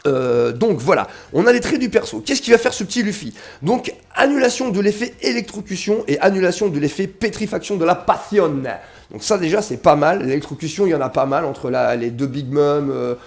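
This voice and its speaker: Gravelly, stuffy-voiced young man chatting. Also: on the high side, expressive, fairly quick, faint music behind. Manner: chatty, slangy, addresses viewers as "les gars", reads game text aloud. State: slightly stuffy with a cold. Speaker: mobile game video creator. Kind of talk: casual gaming commentary to viewers.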